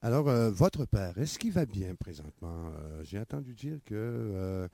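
A man's voice: on the low side, big pitch swings, measured pace, mellow, no echo. A man playing an interviewer in a comedy sketch, hesitating often with filler sounds.

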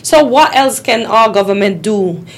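A woman hosting a call-in radio show, asking quick rhetorical questions while losing her voice. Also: medium pitch, expressive, creaky. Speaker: radio talk show host.

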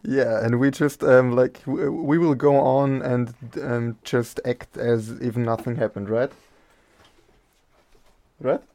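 A man's voice: medium pitch, steady tone, takes it slowly, a little mellow, no room sound. A young man hosts a radio show with a laid-back, rambling delivery, taking his time over each phrase. His voice sits in a middle register and sounds close and dry in the studio. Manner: loose, rambling, easygoing. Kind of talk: casual live radio chat. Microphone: studio mic, wearing headphones.

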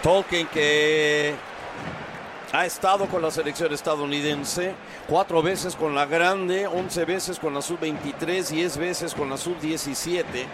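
The male play-by-play voice, pitched a little high, coming from a radio during a soccer match, open-air stadium noise clearly behind him. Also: fairly even intonation, slow pace. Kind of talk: live match commentary. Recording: noisy outdoor stadium broadcast. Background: crowd chants and stadium ambience.

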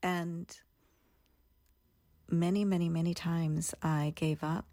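A woman's voice: lowish voice, steady tone, slow pace, dark tone, no echo. A woman in her thirties, earnestly and reflectively telling her own story as a guest in an interview. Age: 30s.

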